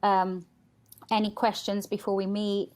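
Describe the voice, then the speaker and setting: A woman's voice: mid-range voice, flat delivery, unhurried, darkish tone. A woman talking candidly and casually as a podcast guest, chatty and acting out quotes as she tells stories. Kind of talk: candid casual interview chat.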